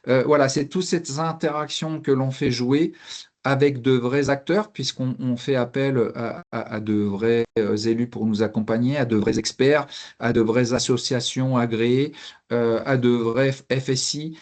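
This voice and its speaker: A man speaking spontaneously as a panelist in a professional discussion, halting and often repeating words as he explains. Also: medium pitch, fairly even intonation, darkish tone. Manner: hesitant, halting delivery with frequent repeated words. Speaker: fire service officer and trainer speaking as panelist.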